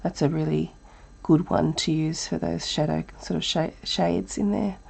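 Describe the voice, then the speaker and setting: A woman with an Australian accent, teaching a relaxed live drawing lesson while thinking aloud, her voice croaky and breathy from a chest cold. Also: deep voice, unhurried. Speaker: art teacher.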